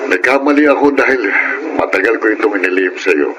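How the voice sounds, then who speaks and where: A man's voice: medium pitch, quick pace, background noise, lightly acted. A man with a Filipino accent playing an earnest, protective older father-in-law and grandfather in a radio-style melodrama. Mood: grave, confessing. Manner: grave, confiding.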